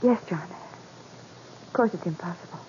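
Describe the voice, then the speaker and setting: A woman's voice: on the low side, normal speed, a little mellow, close to an everyday voice. An actress playing an anxious, insistent middle-aged wife and mother in a 1940s radio drama, with an earnest, pleading delivery. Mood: uneasy, insistent. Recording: muffled noisy 1940s radio recording.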